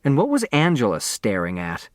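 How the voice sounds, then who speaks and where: A man narrating a children's novel as an audiobook, expressive and deliberate in his storytelling. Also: on the low side, no room sound, clean studio sound.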